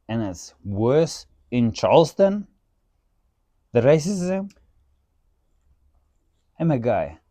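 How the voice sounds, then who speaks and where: A man with a strong Afrikaans accent, speaking in a dark, mellow voice. He tells stories in an animated, unfiltered way, peppered with swearing and "dude". The sound is dry and close. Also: medium pitch, no echo. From South Africa. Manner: animated, swearing storyteller who says "dude" a lot. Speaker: podcast host. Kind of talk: casual chat.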